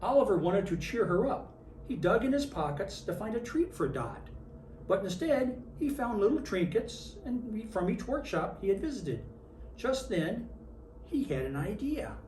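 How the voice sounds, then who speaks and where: An expressive storyteller reads a picture book to children in the role of Santa. The pitch moves in lively, wide swings. The recording is roomy and slightly distant, with faint noise underneath. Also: measured pace. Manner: expressive storytelling with acted character lines. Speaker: storybook reader in character as Santa Claus.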